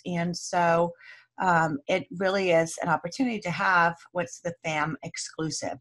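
A woman with an American accent hosting an informal training webinar in a conversational, emphatic way. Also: on the low side.

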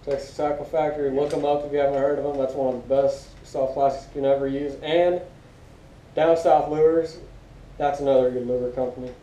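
A man's voice: level tone, fairly quick, mellow. A young American man chatting casually as co-host of a gear review, recorded in a living room on a camera a few metres away. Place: living room at home, indoors. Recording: dull muffled roomy audio, rumbly background noise.

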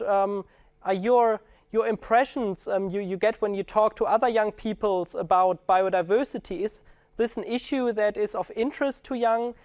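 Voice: mid-range voice, a little mellow, clear, resonant tone, no room sound. A moderator asking a guest long questions, hesitant with many fillers. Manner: hesitant, many ums and restarts. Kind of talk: webinar moderation.

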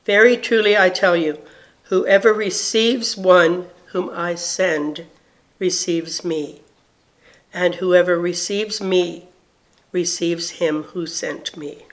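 A woman reads scripture solemnly at a slow pace. Her voice is fairly low to middling for a woman, with deliberate, measured phrasing. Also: steady tone. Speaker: scripture reader.

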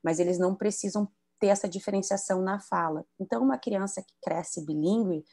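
A woman's voice: medium pitch, brisk, dark tone. Woman from southern Brazil, explaining. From southern Brazil (Rio Grande do Sul). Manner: didactic, explanatory, frequent tag questions. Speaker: bilingualism educator and author. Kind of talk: explanatory talk on a video call.

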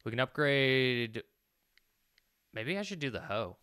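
A man's voice: mid-range voice, very animated, measured pace, sharp tone, clear, resonant tone, no room sound, studio quality. A man in his thirties giving casual gameplay commentary, talking to himself in a self-deprecating way. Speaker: let's play gamer. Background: faint game music.

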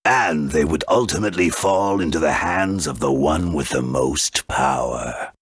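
Game arch-villain: rock-bottom pitch, raspy, grandiose, menacing, deliberate. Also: male voice, no room sound, studio quality, exaggerated voice. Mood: ominous. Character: grandiose dark male villain bent on wiping out the world. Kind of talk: video game voice acting.

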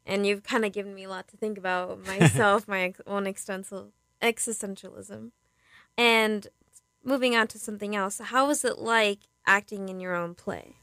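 Young woman host chatting, lots of vocal fry, bright voice, informal and encouraging. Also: mid-range voice, fairly even intonation, normal speed, dry recording. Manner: informal, affirming. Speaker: interviewer. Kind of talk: casual interview chat.

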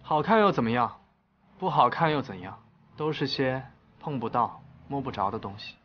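A man's voice: medium pitch, expressive, moderate pace, lo-fi sound, close to an everyday voice. A man playing an aloof, guarded, pessimistic young novelist in a modern romantic drama, speaking in a cool, detached way. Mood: cold, detached. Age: young adult. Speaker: actor in a television drama.

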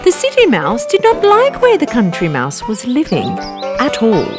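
Female narrator for kids' stories: highly expressive scripted read, music bed behind. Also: normal speed. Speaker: storyteller. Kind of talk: scripted children's story narration.

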